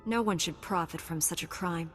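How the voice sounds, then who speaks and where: An actress playing a principled, resolute warrior heroine in a video game, composed and direct. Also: female voice, fairly low pitch, normal speed, a bit bright, faint music behind, lightly acted. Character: resolute, principled female Jedi knight hero.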